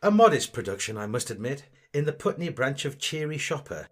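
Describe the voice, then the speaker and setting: A man's voice: medium pitch, very animated, brisk. A man playing a vain, snobbish amateur actor in a comic audio drama, with affected, gushing, pompous delivery. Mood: fawning, self-important.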